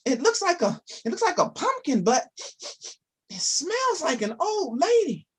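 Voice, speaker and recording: A man's voice: very high-pitched, wildly swooping pitch, measured pace, voice pushed up, exaggerated voice. A man voicing a startled, bewildered bear in a children's story, stammering in surprise.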